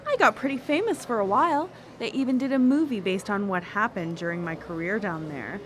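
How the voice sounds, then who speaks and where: A woman's voice: medium pitch, lively intonation, brisk, fairly bright tone, slight background noise, lightly acted. Woman playing a brash, flirtatious seductress. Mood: brash, wry. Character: brash, seductive woman, worldly former Vegas dancer on a date. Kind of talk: radio drama acting.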